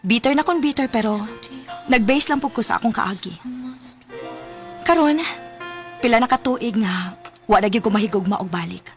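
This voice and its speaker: A woman's voice: on the high side, moderate pace, pauses often, lightly acted. An actress playing a heartbroken, pleading young woman in a radio drama, with a Cebuano accent. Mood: sad, resigned. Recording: music bed behind the voice.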